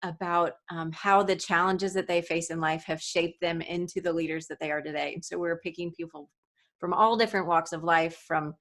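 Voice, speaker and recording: A woman's voice: lowish voice, steady tone, moderate pace, a bit bright. A woman hosting a podcast interview, enthusiastic and giggly. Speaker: podcast host.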